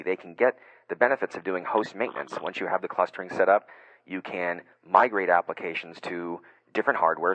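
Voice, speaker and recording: Man, middle-aged, low voice, webcast host, chatty, long questions, dry close sound. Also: fairly bright tone, dry recording, highs cut off. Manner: upbeat, asks long framing questions with many fillers. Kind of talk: conversational tech webcast interview.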